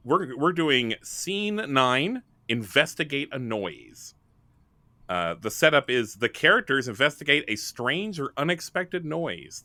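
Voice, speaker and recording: Man for improvised tabletop game talk, bright voice with lively intonation, casually explaining the rules. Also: mid-range voice, no echo.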